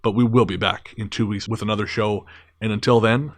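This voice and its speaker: A man's voice: on the low side, fairly even intonation, fairly quick. A man co-hosting a podcast in a casual, chatty style, with a self-deprecating, joking way of talking. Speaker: podcast co-host.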